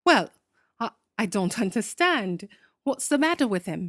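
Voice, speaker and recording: A woman's voice: on the high side, very animated, unhurried, a bit bright, no room sound, clean studio sound, heavily acted. A woman performing a bewildered English employer in a radio drama, hesitant and stammering. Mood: astonished.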